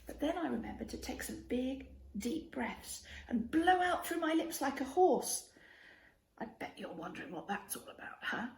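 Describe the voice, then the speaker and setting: A high-voiced woman reads a picture book aloud for children, with very animated, swooping intonation. She speaks straight to her young listeners in an expressive storytelling style. The room adds a noticeable echo around her voice. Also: gravelly. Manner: expressive, addresses child listeners with questions and prompts. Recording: roomy echo with faint background noise.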